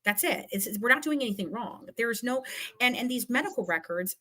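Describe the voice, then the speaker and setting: Bright, high-voiced emphatic woman. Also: lively intonation, fairly quick. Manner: emphatic, combative, repeats phrases for emphasis. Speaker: guest. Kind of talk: heated casual live-stream commentary.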